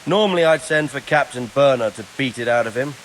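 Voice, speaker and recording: An actor playing an authoritative, gruffly practical medieval lord in a video game cutscene. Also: male voice, medium pitch, brisk, a bit bright, slight background noise, natural voice, rough recording. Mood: brisk, commanding.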